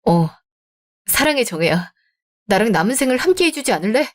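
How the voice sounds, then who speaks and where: A woman's voice: fairly high pitch, sing-song, fairly quick. A woman voicing an earnest, reassuring young man in a radio drama. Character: caring young adult man, earnest boyfriend.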